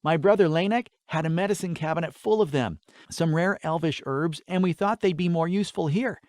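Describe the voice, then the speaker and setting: Man voicing a high-pitched, sweet young elf girl. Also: brisk, a little mellow, no room sound, natural voice. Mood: earnest, bashful. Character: kind elf girl, sweet, earnest and bashful. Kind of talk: fantasy audiobook character dialogue.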